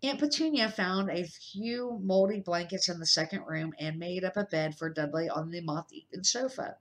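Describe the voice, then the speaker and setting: A middle-aged woman reading a children's novel aloud as an amateur, slightly halting and correcting her words as she goes. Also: on the low side, low-quality recording. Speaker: amateur storybook reader.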